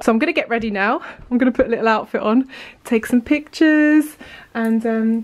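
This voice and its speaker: A woman in her thirties with a fairly high voice talks to her vlog audience. She gushes in a casual, chatty way, filmed on a handheld camera indoors. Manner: gushing, effusive. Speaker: beauty vlogger. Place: indoors, rooms of a house.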